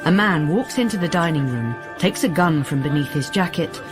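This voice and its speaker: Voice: medium pitch, lively intonation, normal speed, music in the background. A narrator delivering scripted radio drama narration.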